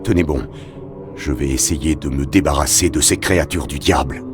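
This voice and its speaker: A man playing a grave adventurer hunting demons in a video game, speaking with formal, deliberate, theatrical diction. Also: very deep voice, over music. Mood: grave, resolute. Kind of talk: video game voice acting, narrated observations.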